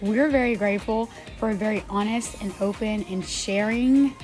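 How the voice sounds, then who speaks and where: A woman, chatting excitedly to camera in a casual vlog while losing her voice. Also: medium pitch, normal speed, faint music behind. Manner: chatty and excited, addresses viewers directly. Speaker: vlogger.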